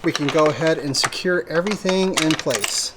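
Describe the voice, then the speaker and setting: A man's voice: a little high, moderate pace, background noise. A man presenting a methodical, step-by-step hands-on installation tutorial for a product he made. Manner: methodical, explanatory. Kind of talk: step-by-step hands-on how-to demonstration.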